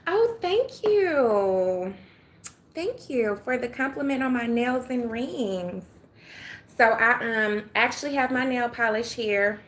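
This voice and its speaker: A woman's voice: a little high, lively intonation, takes it slowly. An older woman from Atlanta with a Southern accent, chatting casually with viewers on a phone livestream, folksy and talkative, sometimes dropping to a murmur. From Atlanta, Georgia. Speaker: livestream host. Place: home room with sunlight.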